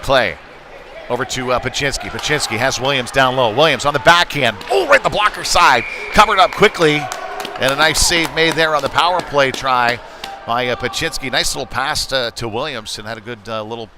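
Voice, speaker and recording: A man with a mid-pitched voice calls a live hockey game from the rink. He talks casually, with hesitant, folksy asides mixed into the play-by-play. Clear venue noise runs behind his voice. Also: moderate pace. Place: ice rink, live stream broadcast.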